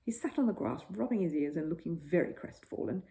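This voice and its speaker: Mellow, very animated woman storyteller. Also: on the low side, brisk, vocal fry. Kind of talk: expressive children's bedtime story narration.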